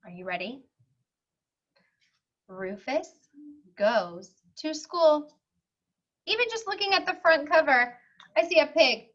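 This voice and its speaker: A woman's voice: high-pitched, expressive, slow, long pauses, fairly bright tone, lo-fi sound. A woman teacher reading a picture book aloud to young children, expressively, with simple explanatory asides.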